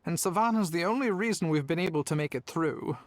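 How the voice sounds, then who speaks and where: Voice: medium pitch, lively intonation, fast, no room sound, lightly acted. Actor doing a sarcastic kitchen staffer, mid voice, dry close sound. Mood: wry. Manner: wry, sarcastic. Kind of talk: video game voice acting.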